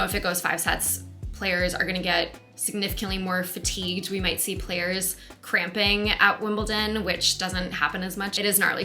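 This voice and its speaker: A woman's voice: mid-range voice, bright, forceful tone. A young American woman talking candidly to camera in a casual vlog from a rented house. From United States. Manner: chatty, candid, quick asides. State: hoarse, losing her voice. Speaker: vlogger.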